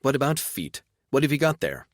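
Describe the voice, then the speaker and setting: A man's voice: medium pitch, very animated, a little fast, no echo, studio quality, voice pushed up, natural voice. A man voicing a snappish, foul-mouthed goblin girl who scolds and pesters. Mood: questioning. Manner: sharp, scolding.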